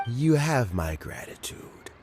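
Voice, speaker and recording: A man playing a kindly, patient elderly official in a video game, measured and philosophical. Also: mid-range voice, very animated. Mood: weary, resigned. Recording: game music under the voice.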